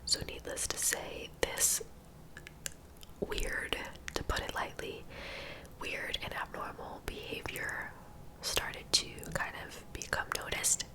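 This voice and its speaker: A woman whispering softly and informally in a breathy ASMR storytelling style, taking her time. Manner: soft, unhurried, informal. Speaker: ASMR host narrating true crime.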